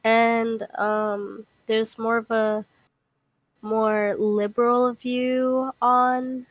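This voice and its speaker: A woman's voice: fairly high pitch, level tone, drawn-out words, no echo. Young American woman chatting in slang. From United States. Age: young adult. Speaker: participant in a group call. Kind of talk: casual chat.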